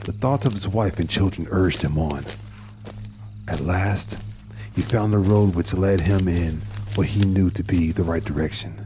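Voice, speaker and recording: A man narrating a dark short story in a dramatic, measured and solemn manner. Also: deep voice, low-quality recording. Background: music.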